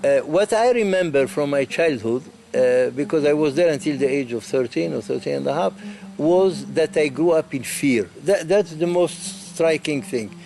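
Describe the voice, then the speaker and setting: Older guy from morocco, bit high voice, halting and earnest, faint hiss behind. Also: expressive, vocal fry, darkish tone. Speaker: interviewee. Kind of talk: documentary interview testimony.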